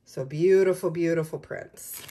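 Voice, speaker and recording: Lady doing a shopping haul on her phone, chatty and excited, kinda low voice. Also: measured pace. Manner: enthusiastic, listing prices item by item. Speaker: personal shopper and pin seller. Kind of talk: casual shopping haul vlog. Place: indoors at a table of merchandise.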